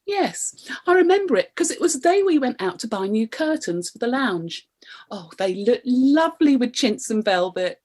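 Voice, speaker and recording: Woman playing a frisky British wife, high-pitched and lively. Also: normal speed. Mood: earnest, naive. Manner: expressive, suggestive. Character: cheeky, frisky, neglected middle-aged British wife. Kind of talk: radio play comedy acting.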